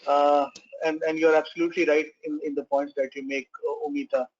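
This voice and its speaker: Halting male moderator, fairly high voice. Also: flat delivery, darkish tone, dull audio. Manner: halting, filler-heavy questioning. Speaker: webinar moderator. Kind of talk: panel moderation. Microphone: video call.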